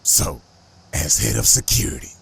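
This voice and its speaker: A man playing a gruff, suspicious robot security chief, curt, officious and sardonic. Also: extremely low pitch, sing-song, moderate pace, fairly bright tone, exaggerated voice.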